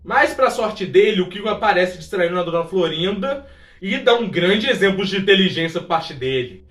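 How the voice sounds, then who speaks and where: High-pitched Brazilian man in a roomy space. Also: animated, moderate pace, fairly bright tone. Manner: informal, exclamatory, talks directly to viewers. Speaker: YouTube presenter of a video essay on a TV sitcom. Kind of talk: casual scripted narration with chatty asides. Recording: roomy echo, distant from the mic.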